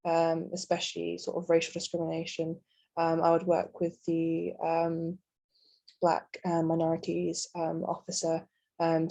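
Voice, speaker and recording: A young woman standing as a student candidate, answering on a video call in a halting way with many ums. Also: on the low side, vocal fry, a little mellow. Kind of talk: answering a hustings question.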